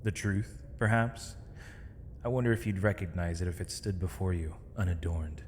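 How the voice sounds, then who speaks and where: Deep-voiced sardonic captive mage, acted by a man. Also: steady tone, natural voice. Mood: sardonic, probing. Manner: sardonic, measured, theatrical formal diction. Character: captured male mage, defiant, weary, eloquent, accused villain. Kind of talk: scripted ASMR audio roleplay. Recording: long reverb, clear background noise.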